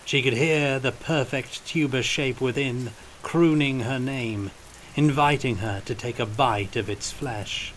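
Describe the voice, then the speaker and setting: A man narrating scripted fiction as an audiobook reader, in a deliberate, measured manner. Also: mid-range voice, fairly bright tone, a little hiss or hum, poor audio.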